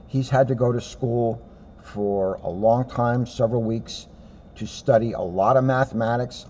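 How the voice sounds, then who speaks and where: Low, mellow man explaining casually. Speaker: police officer commenting during a read-aloud. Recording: dull lo-fi sound with faint noise.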